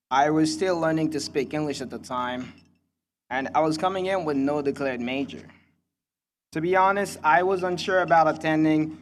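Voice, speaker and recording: Young Congolese-accented man, higher-pitched, speechmaking. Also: a little hiss or hum. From Kinshasa, Democratic Republic of the Congo. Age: young adult. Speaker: student commencement speaker. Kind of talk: prepared graduation speech.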